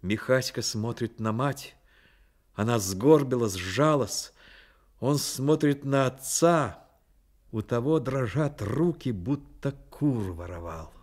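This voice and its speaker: A man's voice: mid-range voice, slow, a little mellow. A man performing as the earnest, troubled narrator of a literary radio drama. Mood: grave, reflective. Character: earnest male storyteller, troubled and sympathetic.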